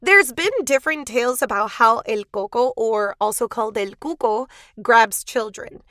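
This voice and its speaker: High-voiced woman, raspy, podcast co-host. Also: moderate pace, fairly bright tone, no room sound, studio quality. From Cuban family background. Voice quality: raspy morning voice. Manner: playful, laughs often, acts out quotes. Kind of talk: casual storytelling chat.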